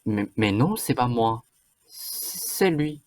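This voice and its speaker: A woman's voice: a bass voice, expressive, takes it slowly, darkish tone, full character voice. A woman acting a flustered, bossy fairy-tale parent in a radio play, with hesitant, stammering starts.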